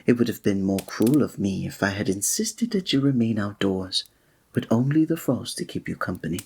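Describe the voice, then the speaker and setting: An actor playing a flirtatious, courtly male village innkeeper in an ASMR roleplay, speaking in a formal, breathy way. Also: fairly low pitch, big pitch swings, normal speed, darkish tone, heavily acted. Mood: welcoming.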